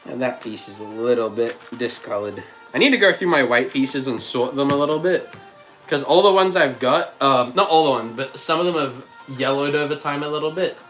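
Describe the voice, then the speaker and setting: A man's voice: mid-range voice, animated, a little fast, a bit bright, soft background music, poor audio. A man hosting a casual livestream, thinking aloud as he builds and answering chat, still with a slight cough and a frog in his throat. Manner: thinks aloud, chatty, reads out chat messages. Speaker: livestream host.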